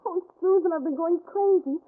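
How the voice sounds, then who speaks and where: Casting: distraught young woman, very high voice, pleading and urgent, old radio mystery recording. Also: moderate pace. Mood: frantic, pleading. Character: frantic, pleading young wife in trouble. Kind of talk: 1940s radio drama acting. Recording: tinny, band-limited 1940s radio recording.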